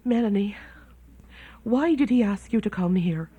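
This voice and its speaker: A woman's voice: mid-range voice, expressive, measured pace, close to an everyday voice. An actress playing a kindly but blunt, motherly boarding-house landlady in a radio drama. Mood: sympathetic.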